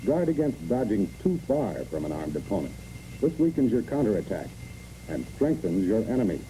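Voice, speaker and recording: A man's voice: lowish voice, sing-song, normal speed, warm tone, a little hiss or hum. A man reads scripted instructional narration for a training film in clipped, imperative phrases, on a muffled old film recording.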